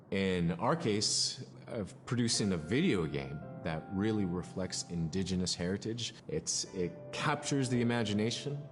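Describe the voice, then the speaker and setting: A low male voice in a long reverb, with music playing behind. He speaks as a storyteller in a documentary interview, choosing phrases thoughtfully with short pauses.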